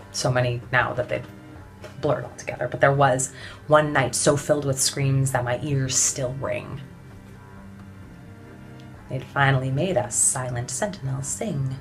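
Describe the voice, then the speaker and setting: A weary but defiant suffragette played by a woman delivers a dramatic stage monologue in a low-pitched voice. She speaks very slowly and deliberately, with intense weight and heavy pauses. Faint music sits behind her. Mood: haunted, bitter.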